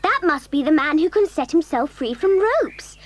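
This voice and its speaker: A woman voicing a gentle, curious child in a children's audio drama. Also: high-pitched, very animated, moderate pace, a little hiss or hum. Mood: surprised.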